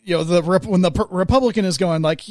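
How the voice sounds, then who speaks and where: A man chatting casually as a podcast co-host, rambling along in a halting way. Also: high voice, a little mellow, no room sound, studio quality. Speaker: podcast co-host and executive producer. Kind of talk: casual chat.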